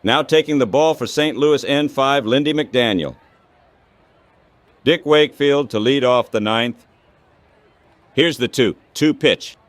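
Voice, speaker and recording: Slow-paced synthetic male baseball announcer. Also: medium pitch, dry recording. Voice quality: synthetic text-to-speech voice. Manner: short clipped phrases. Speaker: baseball play-by-play commentator. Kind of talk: radio-style baseball commentary.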